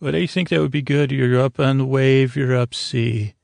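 A man with a fry-laden voice delivers a whimsical bedtime monologue. His intonation stays quite flat as he wanders, hesitates and circles back on himself. The sound is dry and close, with no room around it. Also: mid-range voice, no room sound. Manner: halting, meandering, trailing off and circling back. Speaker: host of a bedtime sleep podcast. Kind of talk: rambling, whimsical bedtime monologue with mock interview.

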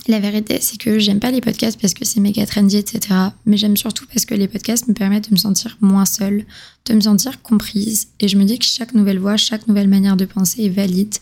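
Young woman host with a flat, even voice. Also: medium pitch, gravelly. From southern France. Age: 20s. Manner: casual, confiding, slangy. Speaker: podcast host. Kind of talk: solo podcast monologue.

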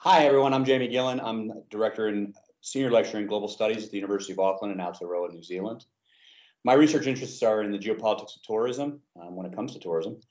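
A man senior lecturer introducing himself on a podcast in a calm, matter-of-fact way. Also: fairly low pitch, fast, echoey room, dull audio. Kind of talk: casual self-introduction.

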